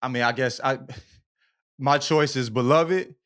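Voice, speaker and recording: A man's voice: mid-range voice, slow, a bit bright. A man co-hosting a podcast, talking casually in slang, repeating himself emphatically and often checking that he is understood. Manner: emphatic, repetitive, checks in with "you know what I mean". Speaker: podcast co-host. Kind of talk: casual, slangy book discussion.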